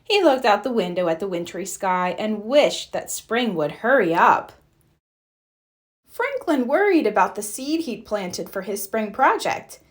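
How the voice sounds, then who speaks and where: A woman narrating a children's picture book aloud, gentle and expressive. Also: mid-range voice, unhurried. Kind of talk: read-aloud storybook narration.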